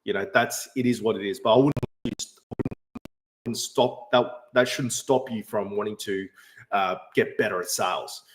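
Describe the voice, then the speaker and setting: A man's voice: fairly low pitch, normal speed, noisy background. A man, hosting a casual live stream and answering chat questions with many fillers and restarts. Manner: rambling, frequent fillers and restarts. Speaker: livestream host answering chat.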